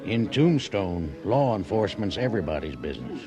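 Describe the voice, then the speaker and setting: An actor playing an earnest, folksy cattle buyer in an Old West radio drama. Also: male voice, fairly low pitch, very animated, brisk, music in the background. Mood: earnest persuasion. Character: plain-spoken, civic-minded male frontier cattle buyer. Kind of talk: 1950s radio western acting. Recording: vintage radio drama recording.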